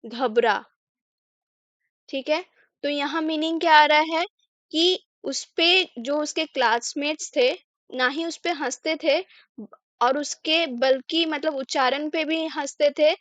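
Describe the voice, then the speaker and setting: Slow, high-voiced Indian woman teaching English. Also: a bit bright, no room sound. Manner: patient, asks questions then answers them herself. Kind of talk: slow line-by-line English lesson explained in Hindi.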